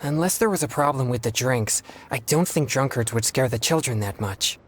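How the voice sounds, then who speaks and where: An actor playing an earnest, polite, easily flustered teenage exorcist boy in a video game, speaking in a formal, measured way. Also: medium pitch, slight background noise, natural voice. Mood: uneasy, apologetic. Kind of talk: video game character dialogue. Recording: music behind the voice. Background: game music.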